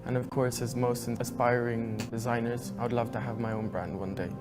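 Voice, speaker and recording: A man's voice: mid-range voice, flat delivery, moderate pace. A young man from Sweden speaking earnestly as a student in a recorded testimonial. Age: young adult. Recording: long reverb with loud music behind. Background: music.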